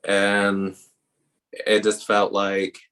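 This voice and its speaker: A man hosting a podcast, chatting casually with his guest and viewers in an even, low-key way. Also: drawn-out words. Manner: self-described introvert, even-toned. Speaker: podcast host. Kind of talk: casual interview chat.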